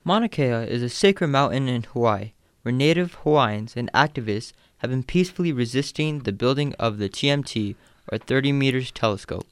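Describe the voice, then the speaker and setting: A man's voice: medium pitch, lively intonation, moderate pace, few pauses, smooth, no room sound. A man co-hosting a radio program, reading scripted introductions in a formal announcing manner. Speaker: radio show co-host.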